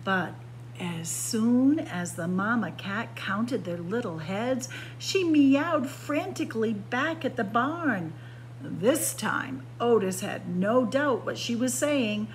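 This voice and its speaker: A woman's voice: a little high, lively intonation, takes it slowly, a little hiss or hum, poor audio. A woman reading a children's picture book aloud like a story-time librarian, expressively, with dramatic emphasis on key words.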